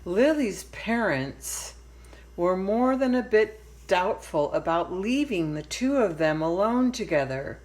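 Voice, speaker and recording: A woman reading a children's picture book aloud in a deliberate way, pausing for emphasis. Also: medium pitch, expressive, darkish tone. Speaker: storybook reader.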